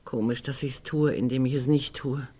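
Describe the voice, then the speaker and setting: A woman's voice: rumbling low, fairly even intonation, normal speed, dark tone, a little hiss or hum. An actress playing a sardonic, morbid woman in a radio play, dry and blunt, often musing. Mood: bitter, provocative. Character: sardonic, morbid woman in a right-to-die society. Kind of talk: German radio play acting.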